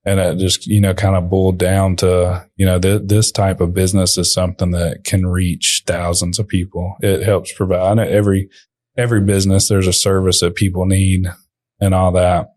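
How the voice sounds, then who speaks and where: Quiet low-voiced young man, halting speech. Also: little pitch movement, dry recording. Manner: quiet, soft-spoken, halting with fillers. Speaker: company owner, guest. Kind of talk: podcast interview.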